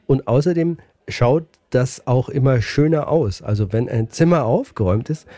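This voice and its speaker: A man in his forties, a teacher, giving a friendly, relaxed short statement. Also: mid-range voice, moderate pace, no room sound. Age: 40s. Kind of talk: street interview statement.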